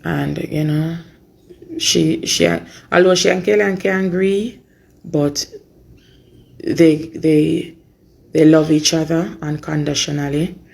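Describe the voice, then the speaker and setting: A Jamaican woman speaks informally and earnestly to her supporters. Her voice is hoarse and creaky, and she goes slowly, repeating phrases to make her point. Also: sits low, fairly even intonation, darkish tone. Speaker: mother giving an update on her daughter. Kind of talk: informal spoken update to supporters.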